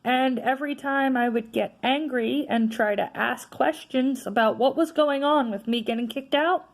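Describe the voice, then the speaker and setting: A woman vlogger with a naturally loud voice, venting in a casual, frustrated rant that is full of tag questions. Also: high-pitched, moderate pace. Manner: frustrated, ranting, frequent "right?" tags.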